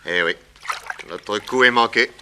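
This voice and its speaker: An actor playing a confident, shrewd detective in a 1960s French radio crime serial, assured, wry and commanding. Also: male voice, lowish voice, unhurried, sharp tone, slight background noise. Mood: urgent, concerned.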